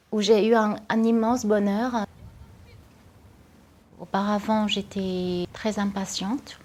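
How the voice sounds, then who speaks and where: A woman's voice: mid-range voice, dark tone. A young woman from Vietnam giving a personal testimony, speaking hesitantly with many fillers and restarts. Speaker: interviewee.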